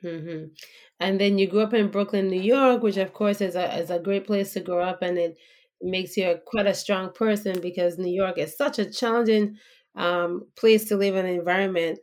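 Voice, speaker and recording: A woman hosting a podcast, interviewing a guest in a slow, deliberate, conversational way. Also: mid-range voice, steady tone, darkish tone.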